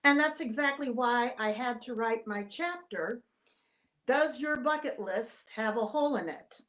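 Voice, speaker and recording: A woman from Cleveland who works as a life coach, introducing herself as an author guest in a poised, measured way on a video call. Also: on the high side, fairly bright tone. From Cleveland, Ohio.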